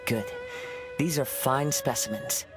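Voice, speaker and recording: A man voicing a gentle, serene young wandering samurai in a video game, speaking softly in measured, poetic, formal phrases over music. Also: medium pitch, close to an everyday voice. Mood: calm, assured. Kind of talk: English game voice acting. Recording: clean studio voice over background music.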